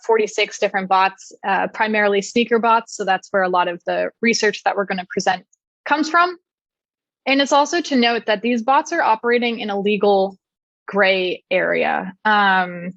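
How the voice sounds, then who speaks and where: A woman's voice: mid-range voice, dry recording. A woman presents research at a security conference, talking through her slides with frequent "uh" and "um". The recording is dry, with no room sound, and slightly dull and muffled. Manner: clear explanatory delivery with frequent "uh" and "um". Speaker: conference speaker.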